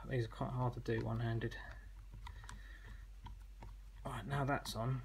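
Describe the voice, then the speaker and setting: A man's voice: mid-range voice, little pitch movement, a little fast, gravelly, a little hiss or hum. A man giving an informal product demonstration as an online seller, explaining in a conversational, slightly hesitant way.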